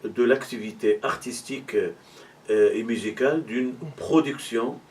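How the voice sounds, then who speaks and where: A middle-aged man from Morocco who works as a university arts and culture officer, answering interview questions in a measured, explanatory way, with many hesitations. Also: mid-range voice, a little mellow, distant mic.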